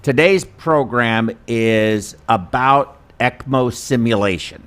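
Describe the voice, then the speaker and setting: A man hosting an informal instructional webinar, talking in a chatty, self-deprecating, halting way. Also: mid-range voice, a bit bright, clean tone. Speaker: host and perfusionist instructor. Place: studio.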